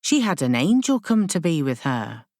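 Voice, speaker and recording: A woman's voice: low voice, big pitch swings, moderate pace, darkish tone, no echo, studio quality. A woman reading an audiobook, voicing a blunt, grumbling woman learner who questions things in a colloquial, querulous way. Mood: hesitant, curious. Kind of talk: audiobook character dialogue.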